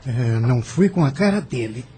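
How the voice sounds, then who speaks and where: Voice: mid-range voice, animated, moderate pace, mellow, a little hiss or hum, natural voice. An actor playing a candid, plain-spoken young boy in an audio drama. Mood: frank. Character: candid young boy, Maria's little brother.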